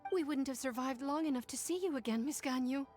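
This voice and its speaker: A woman's voice: high voice, fast talker, darkish tone, lightly acted. A woman voicing a tired young clerk who pleads wearily. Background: game background music.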